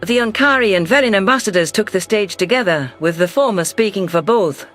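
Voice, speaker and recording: A man's voice: high-pitched, expressive, a little fast, fairly bright tone, faint music behind. A man narrating a science fiction story in the first person, reading a scripted text.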